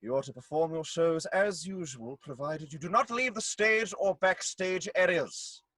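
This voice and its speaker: Man playing a husky, haughty Puritan lord. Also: fairly high pitch, normal speed, a bit bright, no room sound. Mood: menacing, officious. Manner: formal, condescending, threatening. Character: menacing, haughty male Puritan lord of Parliament. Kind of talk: theatrical audio play acting.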